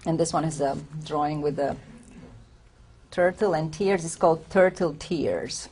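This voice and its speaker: Low-voiced woman poet. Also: darkish tone. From Romania. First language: Romanian. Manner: unhurried, reflective, explains between poems with hesitant asides. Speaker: poet reading her own work. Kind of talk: poetry reading with spoken commentary.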